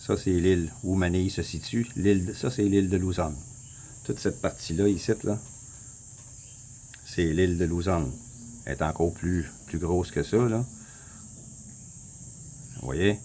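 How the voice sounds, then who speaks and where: A man with a Québécois accent, casually walking viewers through a map on his screen, rambling, repeating himself and clearing his throat often. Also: sits low, measured pace, long pauses, dark tone, slight background noise. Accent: Québécois French. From Quebec. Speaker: vlogger. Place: home in the Philippines.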